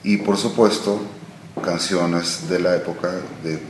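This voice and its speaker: A very low-voiced man in his sixties from Argentina, speaking in an unhurried, reflective way with frequent pauses. His words ring in a roomy space over a clear layer of background noise. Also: steady tone. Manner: slow, thoughtful, trailing off with many pauses. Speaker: musician guest. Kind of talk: relaxed interview answers. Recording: echoey room with noise.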